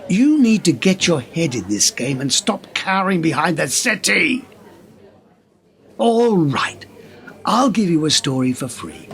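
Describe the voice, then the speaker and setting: An actor in a radio play playing an impassioned whistleblower, speaking in an emphatic, conspiratorial way. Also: male voice, on the high side, big pitch swings, measured pace, fairly bright tone, full character voice. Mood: urgent, coaxing. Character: impassioned male whistleblower pressing a wary journalist.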